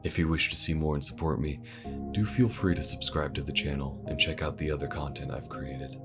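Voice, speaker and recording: A man reading the outro of his own audio drama, thanking supporters in a soft, polite voice. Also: very deep voice, steady tone, fairly quick, over music. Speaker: voice actor and channel host.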